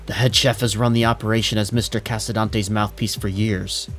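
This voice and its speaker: A man playing a dutiful police captain in an audio drama, deferential and measured in manner. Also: lowish voice, level tone, fairly bright tone. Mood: puzzled, defensive. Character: dutiful, composed male police captain serving mobsters. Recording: music and rain under the voice.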